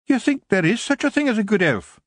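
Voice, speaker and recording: A man voicing a tiny, fierce female fairy clan matriarch with a Scottish accent, blunt and gruff. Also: high-pitched, very animated, brisk, no room sound, studio quality, pitched above the performer's own voice. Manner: blunt, gruff, dialect-heavy.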